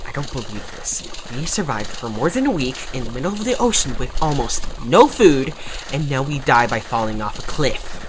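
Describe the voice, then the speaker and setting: A man's voice: mid-range voice, very animated, normal speed, background noise, close to an everyday voice. A man playing a bossy, scornful young creature who wants to lead, haughty and impatient, in an amateur audio drama. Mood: exasperated.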